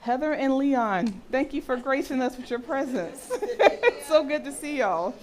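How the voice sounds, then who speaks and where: Deep-voiced woman teaching a workshop. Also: animated, a little slow, a little mellow. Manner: checks in often with "okay?" and quizzes listeners. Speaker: health and intimacy educator.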